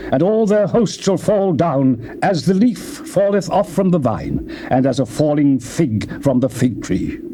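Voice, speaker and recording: A British man giving a solemn, declamatory dramatized scripture reading, rising to soaring lines and dropping to hushed ones. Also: a little high, background noise. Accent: British English. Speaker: scripture reader.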